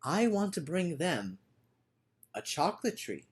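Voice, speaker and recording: A bold and generous god of folktale played by a man reading aloud speaks earnestly, as if to his people. The voice is a little high and husky, with expressive rises and falls. It has a caring, declaiming storybook manner. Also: a little slow, exaggerated voice. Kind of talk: storybook character voice.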